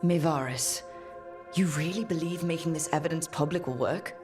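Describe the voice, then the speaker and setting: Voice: medium pitch, normal speed, over music, lightly acted. An actor playing an earnest, plainspoken young adventurer hero in a fantasy video game. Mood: questioning. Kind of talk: video game dialogue acting.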